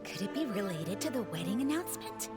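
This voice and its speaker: A curious townswoman in a video game, played by a woman, gossips at a brisk pace. Music is clear behind her. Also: mid-range voice, very animated, lightly acted.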